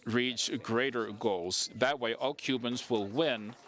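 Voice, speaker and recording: A man giving a simultaneous interpretation of a conference speech, halting often with fillers and restarts. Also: mid-range voice, a bit bright.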